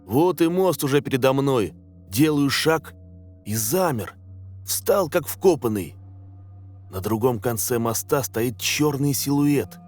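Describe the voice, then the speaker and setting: A man narrates a horror story with a mid-pitched voice. His delivery is measured and suspenseful, with lively swings in intonation, and music plays underneath. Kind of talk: scripted dramatic narration of a scary story. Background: background music.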